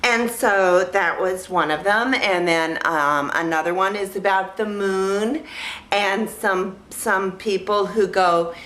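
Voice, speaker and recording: A woman vlog host talking casually and ramblingly in a naive, childlike way, halting and repeating phrases as she searches for words. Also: medium pitch, a bit bright, reverberant. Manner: childlike, naive delivery in simple sentences. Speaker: vlog host reviewing films. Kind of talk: casual rambling talk to camera.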